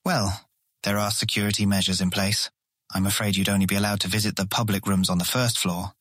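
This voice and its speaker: An actor playing an earnest, awkward and easily charmed guild guard in a fantasy audio drama, eager to please. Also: male voice, on the low side, level tone, a little fast, fairly bright tone, no room sound, clean studio sound. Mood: explanatory.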